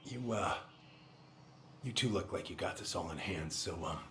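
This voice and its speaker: An actor playing a gruff, awkward older handyman in a video game. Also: sits low, moderate pace, lightly acted. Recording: clear background noise.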